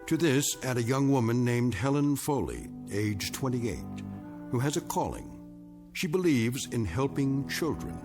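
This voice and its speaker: A male actor as a grave, ominous storyteller, narrating an eerie radio drama in a measured, portentous way. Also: mid-range voice, lightly acted. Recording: radio drama narration over prominent music.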